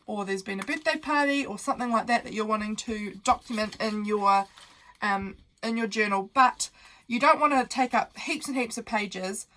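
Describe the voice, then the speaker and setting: A woman's voice: a little high, fairly bright tone, poor audio. A young woman who sounds nasal from a cold, hosting a casual, meandering craft tutorial full of fillers while she works at her desk.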